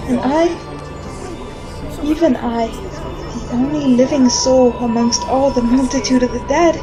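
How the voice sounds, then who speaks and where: A woman's voice: a little high, natural voice, low-quality recording. A woman playing a desperate condemned prisoner whose soul wanders among the dead, in theatrical, intense 1940s radio drama style. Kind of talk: retro 1940s radio drama acting and narration. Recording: music behind the voice. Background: dramatic music.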